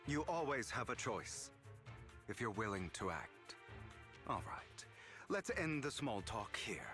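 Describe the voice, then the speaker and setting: An actor playing a sly, proud rebel in a video game, speaking in a theatrical, taunting, deliberate way. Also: male voice, on the low side, very animated. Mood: persuasive, taunting. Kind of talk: dramatic video game voice acting. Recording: music behind the voice.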